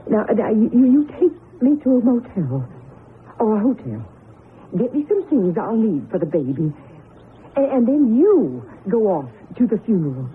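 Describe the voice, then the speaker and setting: A woman playing a middle-aged wife who longs for a child, speaking in a breathless, emotional, halting way in a radio drama. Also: mid-range voice, sing-song, close to an everyday voice. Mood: pleading, insistent. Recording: muffled lo-fi radio broadcast with noise.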